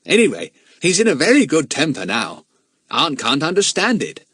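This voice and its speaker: A man's voice: on the high side, wildly swooping pitch, moderate pace, slightly rough, dry recording, studio quality, voice pushed up, full character voice. A man voicing a cheery boy who chatters on in a storybook reading. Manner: bright, chattering.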